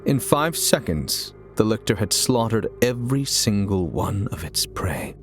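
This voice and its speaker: Low-voiced man narrating over music. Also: big pitch swings, unhurried. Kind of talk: dramatic scripted audio story narration.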